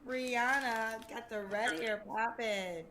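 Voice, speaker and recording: A woman from Connecticut hosts a dating show with a bright, sharp voice pitched a little high. She questions the caller in a familiar, chatty way, calling her baby and honey. Faint background noise sits under her voice. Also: fairly even intonation, slow pace. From Connecticut, USA. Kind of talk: interviewing a caller.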